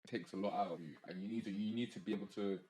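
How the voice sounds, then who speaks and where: A man's voice: lowish voice, steady tone, racing, darkish tone, a little hiss or hum. A young man, co-hosting a casual podcast chat in slang, stumbling and restarting as he goes. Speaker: podcast co-host. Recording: roomy echo.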